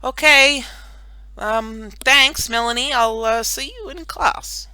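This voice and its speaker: An awkward, evasive schoolgirl performed by a woman in a children's audiobook. She is offhand and halting. Her voice is high and very bright, recorded dry. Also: clean tone, no echo. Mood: relieved, awkward.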